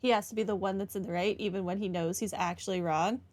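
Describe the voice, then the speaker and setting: A woman's voice: medium pitch, steady tone, fast talker, darkish tone. A woman hosting a game playthrough with casual commentary on the story. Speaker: gaming playthrough host.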